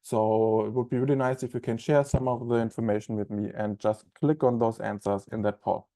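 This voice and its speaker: A man's voice: fairly low pitch, little pitch movement, fairly quick, mellow. A young man with a German accent, presenting a webinar in a loose, conversational way with many ums and uhs. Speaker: onboarding specialist presenting a webinar.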